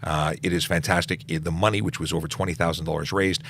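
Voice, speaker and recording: A middle-aged policeman with a low, deep voice hosts a casual live Q&A. He reads out questions and answers them off the cuff, with restarts and fillers, while a faint hiss sits under the recording. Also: steady tone, fast talker, fairly bright tone. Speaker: police officer hosting a Q&A livestream. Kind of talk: casual livestream Q&A.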